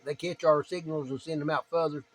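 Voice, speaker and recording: A man's voice: fairly high pitch, fairly even intonation, fairly quick, slight background noise. A man chatting casually as an amateur radio operator, plainspoken and prone to repeating himself. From United States. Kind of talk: casual ham radio chat.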